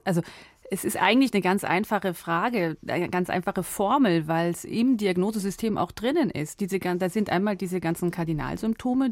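A woman's voice: mid-range voice, darkish tone, dry recording. A woman psychotherapist answering as a radio studio guest, direct and explanatory, often restarting her phrases.

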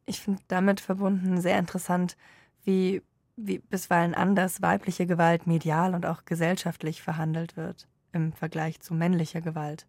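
A woman's voice: lowish voice, little pitch movement, normal speed, creaky, a little mellow, no room sound. A woman interviewer putting composed, formally worded questions to her guests.

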